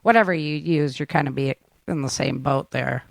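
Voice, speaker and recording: A woman's voice: deep voice, normal speed, vocal fry, no room sound, clean studio sound. A woman hosting a casual interview, chatting in an encouraging, affirming way with her guest. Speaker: podcast host interviewing a guest.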